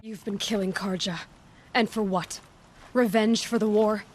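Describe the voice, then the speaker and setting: Actress as young game huntress, determined, direct, earnest, medium pitch, music behind. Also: female voice, steady tone, measured pace, lightly acted. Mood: accusing.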